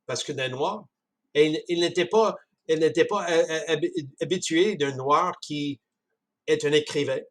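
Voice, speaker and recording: A man with a Canadian French accent talking casually about a book, halting often with restarts and chuckles. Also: fairly high pitch, a bit bright. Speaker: speaker discussing a book. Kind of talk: casual spoken commentary.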